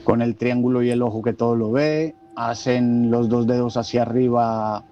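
A man's voice: mid-range voice, steady tone, moderate pace. A Colombian man with a Colombian Spanish accent, talking casually as a caller to a radio show. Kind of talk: casual call-in talk. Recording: dull lo-fi call, some background noise.

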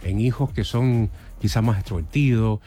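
A man's voice: lowish voice, moderate pace, dark tone, soft background music. A man in his fifties from Cuba with a Cuban accent, a guest architect in a radio interview who talks with many fillers and restarts. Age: 50s.

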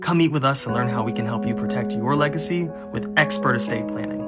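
A man reading a radio commercial in a steady, plain way. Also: mid-range voice, fast talker, loud music behind the voice. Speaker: ad spokesman.

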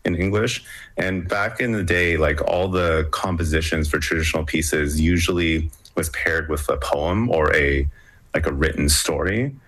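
A man's voice: very deep voice, moderate pace, fairly bright tone. A young man from Hong Kong, a musician, talking casually in an interview with many fillers and restarts. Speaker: musician guest.

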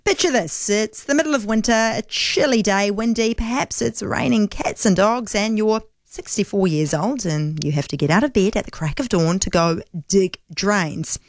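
A woman's voice: medium pitch, moderate pace, few pauses, dry recording, studio quality. A woman from Auckland with a New Zealand accent, hosting a live talkback phone-in in a chatty style. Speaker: talkback radio host.

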